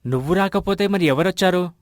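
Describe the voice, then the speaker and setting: Earnest village husband, slightly high voice, a man acting. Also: a little fast, no echo, studio quality, close to an everyday voice. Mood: concerned. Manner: earnest, explanatory. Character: earnest, generous village husband missing a ring. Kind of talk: animated moral story dialogue.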